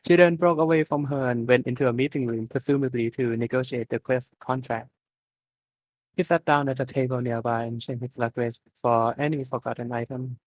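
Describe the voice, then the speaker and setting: A man narrates a story in an informal amateur readalong style. He trips over words here and there. His mid-pitched voice is recorded very dry, with hardly any room in it. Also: fairly even intonation, fairly quick, dry recording, poor audio. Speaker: reader of fan fiction at an online readalong. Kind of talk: live amateur story reading.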